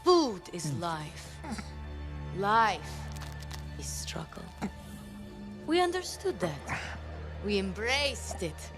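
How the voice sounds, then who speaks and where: A woman's voice: medium pitch, sing-song, very slow, pauses often, over music. A woman playing an imperious, menacing smuggler crime boss in a video game, speaking with formal, grand deliberation. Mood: solemn, proud. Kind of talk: dramatic video game cutscene acting.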